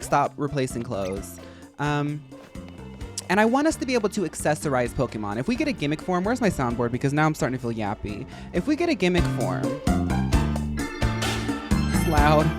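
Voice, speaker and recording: A man with a high, feminine-sounding voice, chatting casually and rambling to his live-stream audience while he plays. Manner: rambling, chatty, addresses chat as y'all. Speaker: game streamer.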